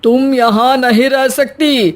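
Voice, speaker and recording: A woman's voice: high-pitched, fairly even intonation, slow pace, pitched above the performer's own voice. A woman voicing a firm, disappointed middle-aged father admonishing his daughter in a story. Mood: firm disappointment.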